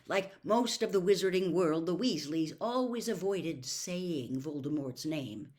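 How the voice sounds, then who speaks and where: A woman narrating a novel aloud in an expressive storytelling style. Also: lowish voice, measured pace. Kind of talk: expressive scripted novel reading.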